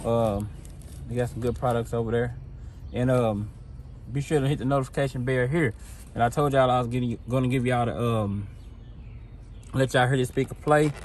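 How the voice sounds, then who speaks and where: A man's voice: mid-range voice, steady tone, normal speed, pauses often, darkish tone. Casual man demoing gear over background noise. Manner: informal, laid-back, addresses viewers as guys and y'all. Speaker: host of car audio product video. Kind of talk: casual product demo talk.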